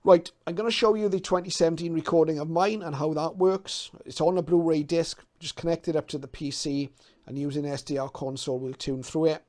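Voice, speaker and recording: A man's voice: on the high side, steady tone, a little fast, a little mellow. A man in his fifties from the UK talking casually to camera as a hobby channel host, explaining things a little hesitantly as he thinks aloud. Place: small cluttered home radio room.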